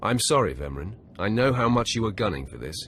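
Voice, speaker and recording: A man's voice: lowish voice, very animated, brisk, a bit bright, slight background noise. An actor playing a self-assured male Sith acolyte in a video game, terse and controlled, with music underneath. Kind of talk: scripted video game drama.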